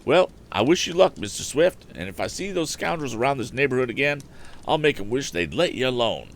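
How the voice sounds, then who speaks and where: A man's voice: mid-range voice, sing-song, a little fast, slight background noise, pitched above the performer's own voice. A man voicing a hearty, protective farmer in a storybook, emphatic and folksy. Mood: hearty, threatening. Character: hearty, protective old-fashioned male farmer. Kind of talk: storybook character voice.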